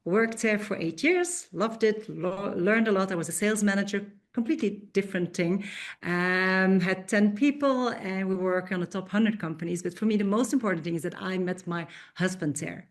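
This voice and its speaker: Woman in her fifties from Belgium, friendly coach recounting her path, middle pitch. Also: moderate pace. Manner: friendly, storytelling, some restarts. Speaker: guest, coach and speaker. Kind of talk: podcast interview, personal story.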